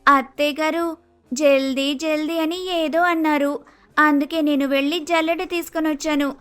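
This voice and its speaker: A woman's voice: sits high, level tone, moderate pace, a bit bright, soft background music. A woman playing a polite, naive young daughter-in-law with an Andhra Telugu accent in a story cartoon, hesitant and easily confused. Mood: polite, innocent. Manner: deferential, hesitant, innocent.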